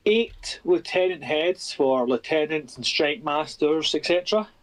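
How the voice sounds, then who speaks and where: A man with a Scottish accent chatting easily as a guest on a stream, reading out a list with pauses. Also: a little high, animated, rough recording. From Scotland. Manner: easygoing, reads out a list with pauses. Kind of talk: casual chat.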